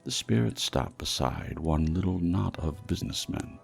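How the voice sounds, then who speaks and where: A man's voice: low-pitched, moderate pace, creaky, soft background music. A man reading a classic ghost story as dramatic scripted audiobook narration. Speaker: audiobook reader.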